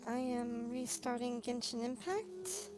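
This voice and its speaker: Female game streamer, fairly high voice, slow casual chat with hesitant pauses, some background noise. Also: lively intonation. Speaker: livestreamer, vtuber. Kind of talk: casual livestream chat while gaming. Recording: clearly noisy, humming background.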